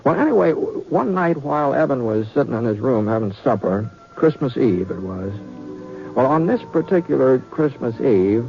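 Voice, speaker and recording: An American actor playing a kindly, folksy cowboy drifter in a 1950s radio western, speaking hesitantly with repeated words. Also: male voice, mid-range voice, animated, moderate pace, gravelly, faint music behind. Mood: reminiscing, folksy. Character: kindly folksy drifting cowboy gunman telling a campfire story. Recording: dull vintage 1950s radio recording.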